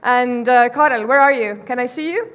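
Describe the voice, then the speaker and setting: A woman hosting a conference, introducing the next speaker in a brisk, upbeat way. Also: fairly high pitch, a bit bright, clean tone. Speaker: event host. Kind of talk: stage introduction. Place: conference stage.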